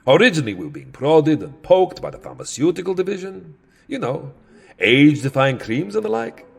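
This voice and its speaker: An ancient vampire count, holds forth in a comic audio drama. His slightly rough voice leaps dramatically up and down, wry, fussy and long-winded. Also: on the high side, very animated, fairly quick, full character voice. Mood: wry, chatty. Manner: theatrical, wry, fussily verbose. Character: Count Dracula, centuries-old male vampire, fussy, sardonic showman. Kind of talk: comedic audio drama acting.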